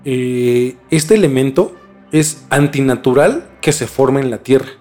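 A man's voice: mid-range voice, animated, unhurried, darkish tone, faint music behind. A man with a Mexican accent explaining things in casual conversation as a podcast co-host, informally and repeating words for emphasis.

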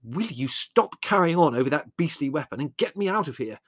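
A man's voice: medium pitch, expressive, brisk, a bit bright, no room sound, studio quality. Man acting a pompous, exasperated young man, voice swooping. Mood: vitriolic. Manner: bitter, sarcastic, elaborate indignant phrasing. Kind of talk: audiobook character voice.

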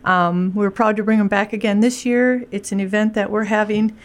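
Female event organizer, earnest and steady, monotone delivery, mid pitch. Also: normal speed. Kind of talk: casual interview.

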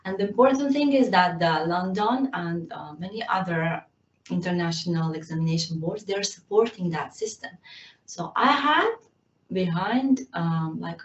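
A woman's voice: mid-range voice, echoey room, distant mic, muffled recording. A woman from Serbia who teaches violin, answering interview questions in a hesitant way, with many fillers and frequent small laughs.

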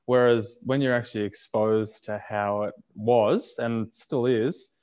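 A man's voice: fairly low pitch, steady tone, slow pace, a little mellow, clear, resonant tone. A man hosting an interview, asking long, loose questions full of fillers. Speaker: interview host. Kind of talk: casual interview chat.

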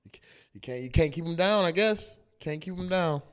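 A young American man with a low voice co-hosting a podcast, chatting casually with a friend in slangy, sweary talk. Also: lively intonation, fairly quick, fairly bright tone, clear, resonant tone. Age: young adult. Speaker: podcast co-host.

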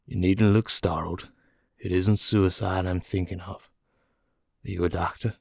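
A man voicing a calm, weary, doomed prisoner who confesses slowly and methodically in an audiobook. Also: sits low, gravelly, no room sound, studio quality, heavily acted. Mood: grim calm. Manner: slow, placid, methodical. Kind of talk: audiobook character dialogue.

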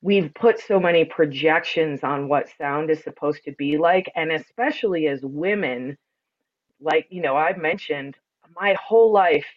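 Deep, resonant woman's voice, slightly dull recording. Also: a little slow. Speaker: podcast guest, spiritual sound and ceremony practitioner. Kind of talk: earnest conversational interview talk.

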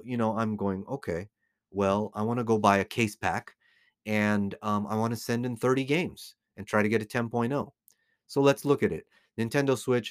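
Low-voiced middle-aged American man, close and dry. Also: no echo. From United States. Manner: emphatic, repeats phrases for stress. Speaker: podcast host, collectibles dealer. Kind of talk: informal opinionated commentary to listeners.

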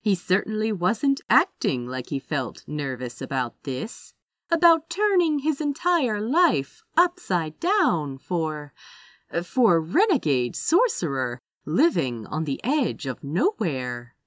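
Female fiction reader: lowish voice, very animated melody, dramatic lingering phrasing, dry sound. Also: slow pace, no echo. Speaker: audiobook reader. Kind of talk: expressive scripted fiction narration.

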